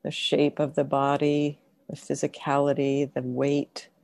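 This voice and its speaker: A woman meditation teacher guiding a meditation gently and unhurriedly, in short phrases with long pauses. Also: low-pitched, monotone, vocal fry, no echo. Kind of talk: guided meditation.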